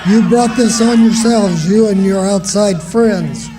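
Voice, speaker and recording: A man's voice: sits high, measured pace, over music, a crowd in the background, low-quality recording. A man playing a threatening small-town sheriff in a radio drama, barking orders and haranguing. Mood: barking, angry.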